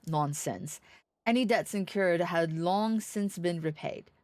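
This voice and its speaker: Girl doing a gracious knight lord from a fantasy game, super courtly n welcoming, lowish pitch. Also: slow pace, darkish tone, dry recording. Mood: gracious, courteous. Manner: courtly, florid, hospitable.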